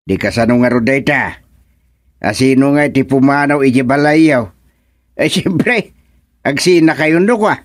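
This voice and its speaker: A man playing a puzzled village chairman mediating in a radio comedy, questioning in drawn-out phrases with an Ilocano accent. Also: medium pitch, no echo, studio quality, close to an everyday voice. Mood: advising. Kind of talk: radio serial drama-comedy acting.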